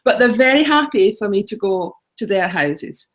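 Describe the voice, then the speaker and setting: A woman's voice: mid-range voice, lively intonation, moderate pace. A middle-aged Scottish woman chatting as a guest in an interview, rambling through practical explanations with ums and little laughs. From Scotland. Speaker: guest, home exchange author. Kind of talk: casual interview talk.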